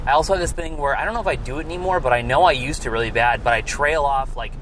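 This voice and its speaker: A man's voice: mid-range voice, racing, fairly bright tone, low-quality recording. A young man co-hosting a casual podcast, rambling and trailing off with lots of filler words. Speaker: podcast co-host. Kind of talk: casual chat in a car. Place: inside a car. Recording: road noise in a moving car. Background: car and traffic noise.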